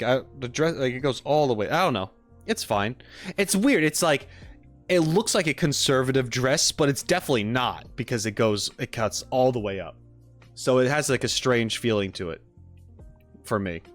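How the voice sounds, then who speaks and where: A man's voice: mid-range voice, lively intonation, normal speed, a bit bright, faint music behind. A man chatting casually as a streamer, rambling through his opinions in a tentative, hedging way. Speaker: streamer reacting with live chat. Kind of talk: casual rambling livestream chat.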